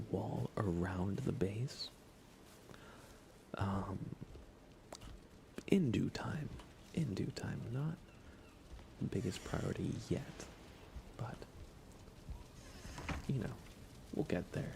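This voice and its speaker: A man streaming ASMR in a soft, often whispery voice, chatting in an unhurried, low-key way with pauses. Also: creaky, noisy background. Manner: hushed, unhurried, relaxed delivery with pauses. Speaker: ASMR livestreamer.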